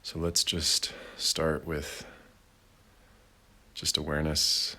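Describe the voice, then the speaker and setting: A man leading a guided meditation in a gentle, deliberate way, with long pauses between short phrases. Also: a bass voice, completely flat delivery, husky. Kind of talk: guided meditation, slow and unhurried.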